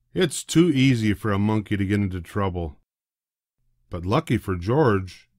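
An older man narrating a children's storybook in a deliberate, expressive way. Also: fairly low pitch, no room sound, clean studio sound. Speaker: storybook reader.

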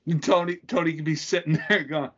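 A man's voice: fairly high pitch, steady tone, a little fast, a bit bright, slightly rough, highs cut off. A man in his thirties co-hosting a podcast, bantering loosely with laughter and swearing over a video call from home. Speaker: podcast co-host. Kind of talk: casual profane chat.

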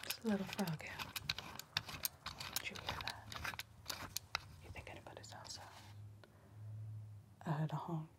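A young woman playing an anxious, scolding backwoods accomplice in a hushed, whispered ASMR roleplay. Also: deep voice, fairly even intonation. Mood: hushed, coaxing. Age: young adult. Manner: hushed, whispering, slow and deliberate. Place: indoor room, seated at a table. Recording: close-up mic with clear background noise.

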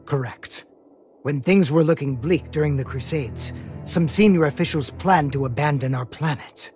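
An actor playing a solemn, soft-spoken mage burdened by regret, with formal, measured speech in an animated game cutscene. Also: male voice, fairly high pitch, lightly acted. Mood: grave, explaining. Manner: formal, measured, articulate wording. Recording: dramatic music under the voice.

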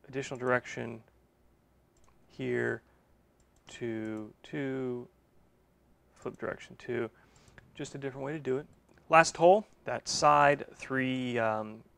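A man's voice: mid-range voice, creaky. Man thinking aloud through a shop job. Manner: thinks aloud while working, with self-corrections. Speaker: machine shop owner and video host. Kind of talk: casual instructional walkthrough. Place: machine shop, indoors.

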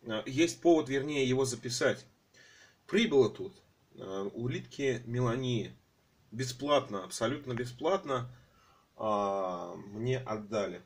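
A man with a slightly hoarse voice from a cold, filming his aquariums at home on a handheld phone and talking in a rambling, hesitant way full of fillers. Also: medium pitch, lively intonation, measured pace. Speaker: aquarium hobby vlogger. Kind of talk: casual home vlog, off-camera narration.

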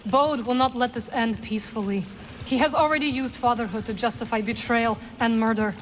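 Resolute young game witch, female performer: higher register, measured and solemn delivery, over prominent music. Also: close to an everyday voice. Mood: grim resolve. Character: resolute, guarded young woman, a Force-wielding witch ally. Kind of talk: cinematic video game acting. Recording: music behind the voice.

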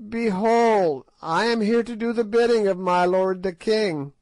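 A man voicing an ancient, wicked witch woman, with a high piping cackle and slow gloating delivery. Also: a little mellow, no echo. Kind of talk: audiobook character voice.

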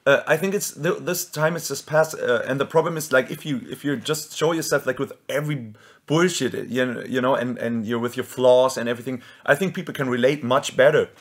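A man's voice: medium pitch, brisk. A man in his thirties with a German accent, hosting a podcast in casual chat and searching for words as he goes. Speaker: podcast host, band member. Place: small room with guitars on the wall.

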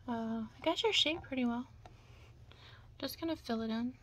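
A young woman doing an ASMR roleplay as a makeup stylist, speaking softly and breathily, often in a whisper, with reassuring asides. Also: high-pitched, normal speed. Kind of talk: ASMR roleplay, close personal attention. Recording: close-up with clear background noise.

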